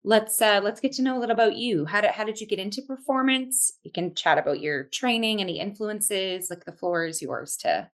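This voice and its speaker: A woman hosts an interview over a remote call, chatting casually and encouraging her guest. She often gives short affirmations and laughs along. Her voice sits at a middle pitch for a woman. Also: fairly quick, hardly any pauses. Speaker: talk show host.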